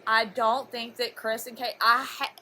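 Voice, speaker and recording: A woman debating casually on a phone call, halting and often restarting her sentences. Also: a little high, little pitch movement, bright, forceful tone. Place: telephone call. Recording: noisy phone call recording.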